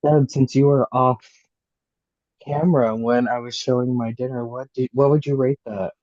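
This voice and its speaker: Man, casual chat, mellow tone, laughs easily, muffled lo-fi call audio. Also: mid-range voice, steady tone, normal speed, dry recording. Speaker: game player. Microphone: video call.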